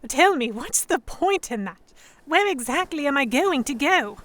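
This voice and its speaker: A woman's voice: high-pitched, sing-song, measured pace, a bit bright, exaggerated voice. A woman playing a defiant, sarcastic young Victorian English noblewoman held captive, narrating in formal first person for an audio drama. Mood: angry defiance. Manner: sardonic, defiant, formal diction. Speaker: narrator and lead voice actor.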